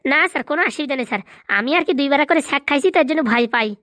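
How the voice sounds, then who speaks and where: Woman acting as male cartoon village helper, high-pitched, very fast, loyal, eager to please. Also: fairly bright tone, no room sound, studio quality. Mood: obliging. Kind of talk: comic Bengali cartoon dialogue.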